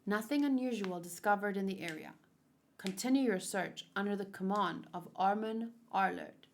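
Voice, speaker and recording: A stern manga military commander, read by a woman, grave and decisive. The delivery is measured and commanding, at a middle pitch. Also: vocal fry, slight background noise, natural voice.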